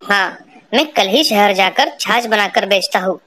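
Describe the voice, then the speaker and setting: A woman's voice: mid-range voice, measured pace, fairly bright tone. Woman as an earnest young village son. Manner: practical, earnest. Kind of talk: cartoon moral-story dialogue. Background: music.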